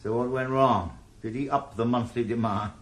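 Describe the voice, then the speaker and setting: A man's voice: medium pitch, steady tone, normal speed, close to an everyday voice. An actor playing a wry, world-weary retired Welsh ex-policeman working as a private eye, narrating his own story. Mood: probing. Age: older. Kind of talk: radio drama with first-person narration.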